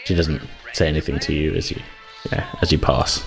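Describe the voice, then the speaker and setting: A man's voice: rumbling low, steady tone, fairly quick, vocal fry, music in the background. A man acting as game master, improvising tabletop game narration in informal, hesitant phrasing.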